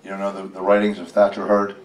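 A man's voice: low voice, monotone, fast. An American man reading a picture book aloud at a casual storytime, joking and chatting with folksy asides. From United States, Western Pennsylvania. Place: library room, live event. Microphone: handheld microphone held by a helper. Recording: roomy, distant from the microphone.

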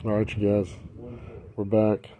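A man's voice: completely flat delivery, measured pace. A man giving a casual hands-on review and commentary as a hobbyist host outdoors at a flying field. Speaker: RC plane hobbyist, vlog host. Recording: noisy outdoor camera audio, engine rumble. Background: outdoor ambience and motor noise.

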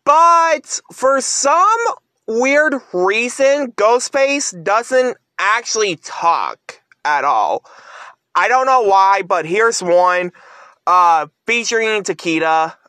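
A man giving casual video commentary as a vlogger, in a halting, stop-and-start manner. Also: high voice, animated, pushed and bright, no room sound, clean studio sound. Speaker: YouTube vlogger.

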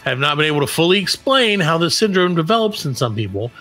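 A man's voice: a little high, sing-song, fast, sharp tone, soft background music. A middle-aged man co-hosting a comedy podcast over a video call, reading odd news stories aloud in a joking, self-mocking way. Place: dim room at home.

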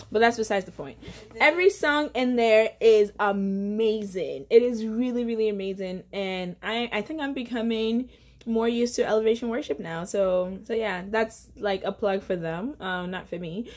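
A woman's voice: mid-range voice, a little mellow. Earnest chatty young woman vlogging. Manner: chatty and earnest, many fillers and self-corrections. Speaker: faith vlogger. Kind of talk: casual devotional vlog. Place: indoor living room at home.

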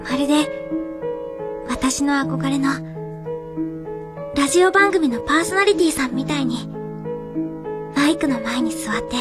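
Radio drama role: shy, apologetic teenage schoolgirl, high earnest voice, music behind. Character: shy, apologetic high school girl reading farewell letter. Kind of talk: radio drama monologue, reading a letter.

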